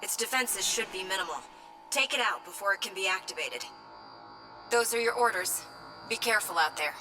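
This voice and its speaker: Actress as female combat operator, businesslike, protective, clear orders, game briefing style, loud music underneath. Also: medium pitch, moderate pace, lightly acted, poor audio. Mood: soft, caring. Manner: crisp, businesslike, instructive. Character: composed female military mission operator, protective of her pilot. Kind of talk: video game mission briefing and combat radio guidance.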